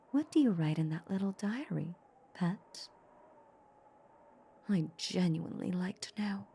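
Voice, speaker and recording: Female vampire played by a woman, possessive and teasing; unhurried, dark breathy tone, intimate audio drama. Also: on the low side, slight background noise. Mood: coy, coaxing. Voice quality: breathy, often half-whispered. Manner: slow, teasing, condescending purr. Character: seductive, possessive female vampire, teasing her human captive. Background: soft ambient sound effects.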